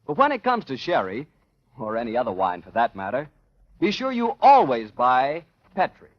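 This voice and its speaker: A man working as a 1940s radio announcer, delivering a folksy, emphatic sponsor pitch and scripted chat as host. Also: wildly swooping pitch, moderate pace. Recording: muffled vintage radio recording.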